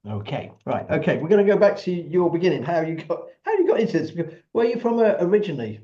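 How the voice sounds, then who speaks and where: A man hosting an interview over a video call, upbeat and moving things along with quick questions. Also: high voice, very animated, warm tone, lo-fi sound. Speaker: interview host. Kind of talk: casual interview.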